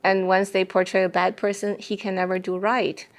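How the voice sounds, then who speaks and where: A woman speaking as an interview guest in a thoughtful discussion, explaining her ideas with deliberate phrasing. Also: mid-range voice, little pitch movement.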